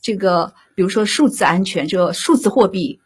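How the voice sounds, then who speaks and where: A woman speaking as a drama director in an unscripted interview, halting as she searches for words. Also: mid-range voice, expressive, slightly rough.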